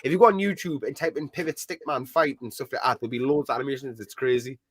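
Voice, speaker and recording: A man with a thick, strong accent talking fast in a casual live chat about ants, full of "like" and "I mean". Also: medium pitch, husky.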